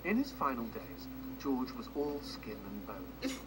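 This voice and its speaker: An actor playing a wry, macabre storyteller who narrates a grim storybook tale in a video game, dramatic and tongue-in-cheek, heard through noisy TV audio. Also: male voice, mid-range voice, wildly swooping pitch, a little slow. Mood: somber, macabre.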